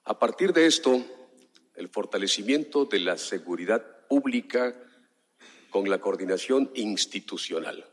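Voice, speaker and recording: A man's voice: lowish voice, animated. A man in his fifties from southern Mexico, a municipal commissioner giving a formal speech at a press conference. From Juchitán, Oaxaca, Mexico. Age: 50s. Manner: slow, measured, formal address with long pauses. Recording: hall reverb, relayed press conference.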